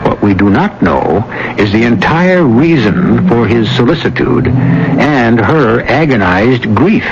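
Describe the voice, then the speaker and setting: A man narrating as host of a radio drama in a measured, ornate, arch literary style. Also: medium pitch, very animated, background noise. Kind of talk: literary, theatrical scripted narration. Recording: muffled old radio recording with music. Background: music.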